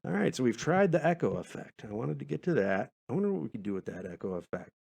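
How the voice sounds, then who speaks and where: A man's voice: medium pitch, big pitch swings, quick pace, gravelly, darkish tone, husky. A man in his forties hosting a casual podcast, rambling along in a laid-back, self-deprecating way. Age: 40s. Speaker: podcast host.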